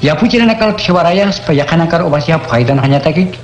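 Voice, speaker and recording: Un hombre interpretando a Jesús, maestro sereno. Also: male voice, a little high, animated, fast talker, soft background music, natural voice. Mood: teaching. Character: Jesus, calm adult male teacher. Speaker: voice actor. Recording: echoey room.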